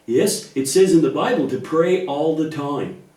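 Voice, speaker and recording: A man's voice: fairly high pitch, unhurried, dark tone. A man speaking patiently and reassuringly in a children's church puppet show, explaining things in simple terms. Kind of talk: gentle scripted dialogue teaching children about faith. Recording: echoey room, distant microphone.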